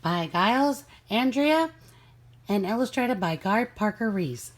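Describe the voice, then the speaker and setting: A woman reading a rhyming children's picture book aloud in an expressive, measured storytelling manner. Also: mid-range voice. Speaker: story reader.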